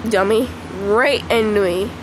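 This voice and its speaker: A woman with a congested voice chatting casually over mobile gameplay, excitable and squealing at the game. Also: medium pitch, a little slow, noisy background. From Michigan. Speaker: gaming channel host. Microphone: phone screen recording.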